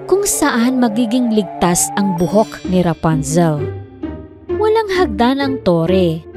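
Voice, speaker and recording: Lively woman storyteller over music. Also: on the high side. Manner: expressive storytelling. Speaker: storyteller, narrator. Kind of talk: scripted children's fairy tale narration.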